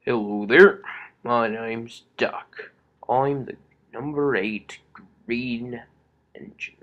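A man's voice: mid-range voice, drawn-out words, a bit bright. A man voicing a proper, hearty railway engine from a children's cartoon, self-assured and correct. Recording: dull lo-fi home recording.

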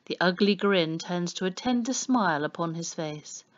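Female storybook reader, lower-pitched, expressive narration for children, muffled lo-fi recording. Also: moderate pace. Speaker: audiobook reader. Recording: dull, lo-fi sound.

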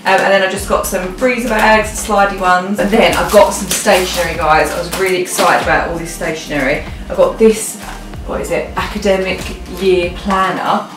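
A woman's voice: medium pitch, steady tone, normal speed, hardly any pauses. A young British woman chatting casually and informally, thinking aloud as she vlogs. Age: young adult. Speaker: vlogger. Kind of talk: casual chatty haul vlog. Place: living rooms at home. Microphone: camera a few feet away. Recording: roomy, distant mic, music behind.

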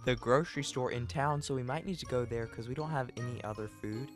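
A man with an ordinary mid-range voice chats through an improvised game roleplay. His delivery is exclamatory and bantering, and music plays clearly behind him. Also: mid-range voice, fast. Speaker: host playing a parent in a roleplay.

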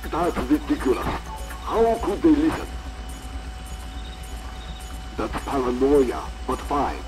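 An actor playing a scheming, impatient general in a spy video game, with measured, menacing speech. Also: male voice, medium pitch, big pitch swings, over music, rough recording. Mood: exasperated.

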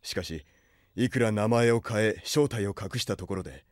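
Man acting a solemn low-voiced rebel prince. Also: no room sound, clean studio sound, lightly acted. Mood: somber. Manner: solemn, measured, lordly. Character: grave exiled prince leading a rebel army. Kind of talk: anime audio drama acting.

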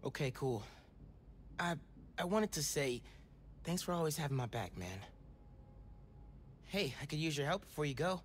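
An actor playing an earnest teen superhero. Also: male voice, a little high, animated, moderate pace, pauses often, a little hiss or hum, close to an everyday voice. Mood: grateful. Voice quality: soft-spoken, earnest. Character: young male teen superhero, earnest and kind.

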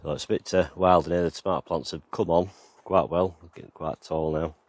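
A man with a very deep, low voice talks his way around his allotment and garden. His delivery is relaxed and rambling, with plenty of ums and you-knows. He is outdoors, filming on a handheld camera. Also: fairly quick, no room sound. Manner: relaxed, rambling, lots of fillers. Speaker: gardening vlogger. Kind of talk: casual walk-around garden tour.

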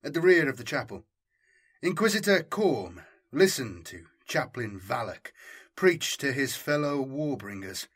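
A man narrating a scripted story as an audiobook, in a measured, solemn and dramatic way. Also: medium pitch, sing-song, a bit bright, breathy edge.

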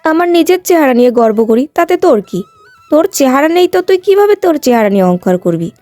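A woman's voice: high voice, very animated, fast talker, warm tone, no echo, soft background music. A woman voicing a vain, haughty, petulant young village woman in a cartoon moral tale. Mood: smug, scornful. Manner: boastful, petulant, complaining.

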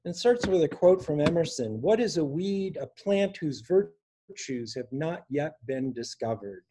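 A man in his sixties reading his own poems for an online open mic, with a measured, deliberate delivery. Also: fairly high pitch, expressive, noisy background. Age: 60s. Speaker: poet reading own work. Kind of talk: poetry reading at a virtual open mic, with informal introductions. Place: small room indoors at home.

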